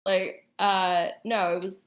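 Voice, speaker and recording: A woman's voice: medium pitch, level tone, slow, dull audio, a little hiss or hum. A woman in casual conversation, talking hesitantly and peppering her sentences with "like". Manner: hesitant, filler-heavy, says like often. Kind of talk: casual chat. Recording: roomy, echoing sound.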